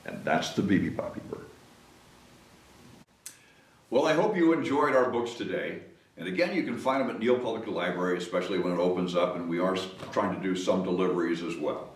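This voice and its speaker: A man's voice: low voice, expressive, fairly quick, slight background noise. A man hosting story time, reading expressively to children and chatting casually between passages. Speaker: story time host and reader. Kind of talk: expressive children's read-aloud with casual asides. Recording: echoey room.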